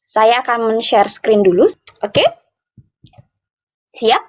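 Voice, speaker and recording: A woman interpreting live for an interactive online workshop, speaking phrase by phrase in a halting way with repeats. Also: fairly high pitch. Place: online video meeting. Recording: dull, muffled online call audio.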